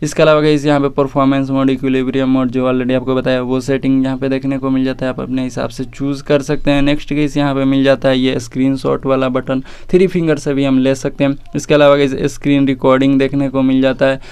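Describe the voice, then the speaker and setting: An Indian man presents a tech walkthrough in an informal, conversational way. His voice is smooth and clear at a middling pitch, with little melodic movement. He talks straight to his viewers. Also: level tone, fast, few pauses, a little mellow, no room sound. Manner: informal, addresses viewers directly. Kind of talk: casual phone feature walkthrough.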